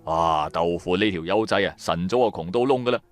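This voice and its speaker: A man's voice: sits low, a little fast, no room sound, faint music behind, lightly acted. An actor with a Cantonese accent playing a streetwise, wry businessman turned tomb raider, narrating in colloquial first person for a radio drama. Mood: amused, exasperated. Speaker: narrator and main character of an audio drama.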